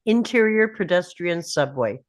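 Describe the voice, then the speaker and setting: A woman's voice: lowish voice, lively intonation, measured pace. A woman narrating screenplay stage directions in clipped phrases.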